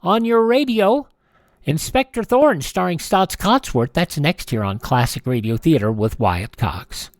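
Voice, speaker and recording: A man's voice: on the high side, expressive, measured pace, no echo, clean studio sound. An American man reading scripted news stories as a radio show host.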